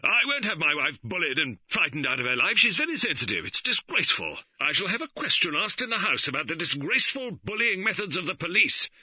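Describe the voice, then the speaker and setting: A man voicing a pompous middle-aged gentleman who blusters and splutters indignantly. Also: fairly low pitch, wildly swooping pitch, normal speed, husky, no echo, exaggerated voice. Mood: blustering. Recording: dull, dry studio recording.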